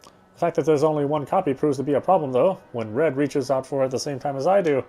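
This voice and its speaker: A man's voice: on the high side, quick pace, warm tone, soft background music. A man reading visual novel narration aloud, sometimes stumbling and going back over phrases. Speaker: game streamer reading aloud.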